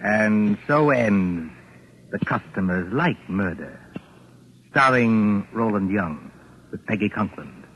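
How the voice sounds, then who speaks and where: Slow, low-voiced male radio narrator. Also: expressive, muffled recording. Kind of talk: 1940s radio announcing, dramatic. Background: loud music.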